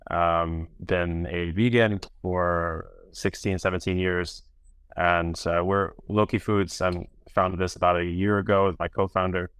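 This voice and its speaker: Very deep-voiced hesitant man. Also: little pitch movement, unhurried, fairly bright tone, clear, resonant tone, no echo. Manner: hesitant, many fillers. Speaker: company founder. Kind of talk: panel self-introduction.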